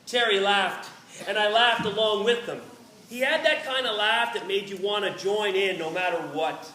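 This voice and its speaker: A man's voice: sits high, moderate pace, sharp tone. A man reading a first-person story aloud expressively, recorded at a distance from the microphone. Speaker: reader. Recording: roomy, distant microphone with faint noise.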